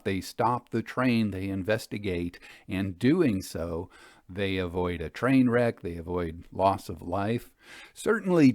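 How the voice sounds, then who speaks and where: Low-voiced man hosting a story podcast. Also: dry recording, clean studio sound. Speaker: podcast host and story narrator. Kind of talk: literary storytelling and scripted commentary.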